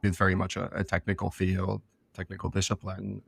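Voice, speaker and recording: A man's voice: low voice, completely flat delivery, fairly quick, gravelly, breathy edge, no room sound. A middle-aged man being interviewed as an expert guest, speaking thoughtfully and often restarting his sentences. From France and elsewhere. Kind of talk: conversational podcast interview.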